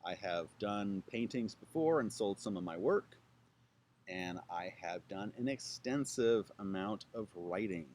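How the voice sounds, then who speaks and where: A man hosting his own channel, chatting playfully to camera outdoors and spelling words out slowly letter by letter. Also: fairly low pitch, expressive, a little mellow, a little hiss or hum. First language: English. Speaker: YouTube channel host. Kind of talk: casual self-introduction to camera. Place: outdoors with trees behind.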